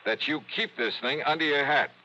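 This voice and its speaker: A man's voice: fairly high pitch, moderate pace, pushed and bright. A man playing a brash, exasperated, wisecracking American insurance investigator in old-time radio drama style. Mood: reluctant. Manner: incredulous, wry, punchy. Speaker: lead actor and narrator. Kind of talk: 1950s-60s radio detective drama acting. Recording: dull, noisy old radio recording.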